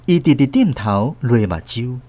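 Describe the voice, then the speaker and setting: 一位台灣口音的男演員，在文學廣播劇中以第一人稱扮演回憶童年的敘事者，富有表情地說故事。. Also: male voice, medium pitch, animated, normal speed, mellow, lightly acted. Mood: wistful, dramatic. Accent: Taiwanese. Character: grown narrator recalling a childhood train ride with mother. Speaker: narrator. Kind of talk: literary radio drama narration in first person.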